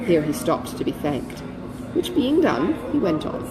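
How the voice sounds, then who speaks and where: A woman reading a classic novel aloud as an audiobook narrator, in an expressive literary style. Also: on the low side, unhurried, music in the background.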